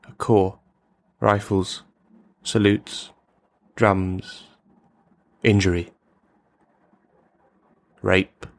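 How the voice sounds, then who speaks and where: Low-voiced, bitter army veteran, acted by a man. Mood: brooding, confessional. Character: bitter, rambling male army veteran, brittle and menacing. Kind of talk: radio play dramatic monologue.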